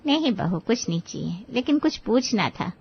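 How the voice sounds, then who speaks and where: Woman playing kindly older aunt, gentle, advising, cartoon story, slightly muffled recording. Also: fairly high pitch, sing-song, normal speed, creaky, husky, heavily acted. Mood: gently advising. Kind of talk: cartoon moral story dialogue.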